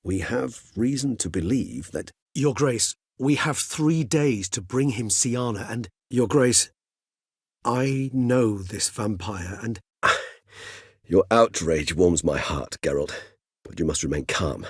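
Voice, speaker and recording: An ancient, learned vampire gentleman for a fantasy video game. His voice sits fairly low with a soft, husky grain, recorded close and dry. He speaks in courteous, eloquent, old-fashioned sentences with measured care. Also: animated, dry recording, exaggerated voice. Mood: grave. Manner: eloquent, formal, measured, archaic wording. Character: erudite, courteous, centuries-old male vampire gentleman scholar.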